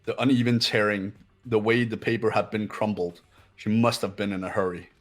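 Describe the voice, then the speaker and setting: A man voicing a haughty, condescending young man in a game playthrough, speaking pompously and deliberately. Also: fairly low pitch, little pitch movement, soft background music, lightly acted. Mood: smug, analytical. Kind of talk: voiced video game playthrough.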